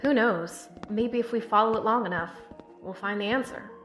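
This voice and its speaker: A woman acting in an audio drama as a calm, protective town sheriff carrying grief, speaking in a measured, earnest way. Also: fairly high pitch, lightly acted. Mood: calm, wry. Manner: measured, earnest, halting when emotional. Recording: echoey tunnel-like reverb with music.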